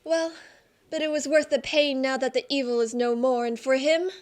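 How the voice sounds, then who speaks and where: Radio drama role: humble, devout guide, played by a woman, very high-pitched. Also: fairly even intonation, moderate pace, darkish tone, close to an everyday voice. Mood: grateful, devout. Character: Henri, wounded French mountain guide, reverent and grateful man. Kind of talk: radio play acting.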